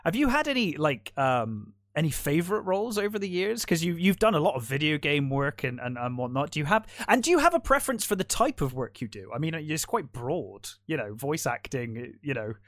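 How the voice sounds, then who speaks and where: A man's voice: fairly high pitch, sing-song, brisk, few pauses, fairly bright tone, no echo. A male interview host asking questions in a curious, eager way. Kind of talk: casual interview chat.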